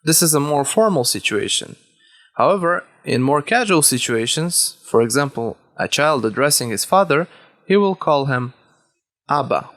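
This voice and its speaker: A man who is a native Hebrew speaker, teaching a language lesson step by step, deliberately and with key words repeated. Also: on the high side, expressive, fairly bright tone. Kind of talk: instructional lesson, explaining step by step.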